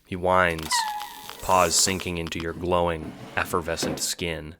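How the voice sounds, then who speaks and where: A man's voice: sits low, little pitch movement, a little slow, bright, forceful tone, slight background noise. A man narrating an audio drama in a dramatic, scripted storytelling style, with music behind him.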